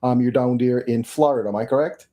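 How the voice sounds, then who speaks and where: A man's voice: mid-range voice, fast, warm tone. A man hosting a live talk show, making announcements and welcoming a guest in an upbeat way with frequent fillers. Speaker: show host.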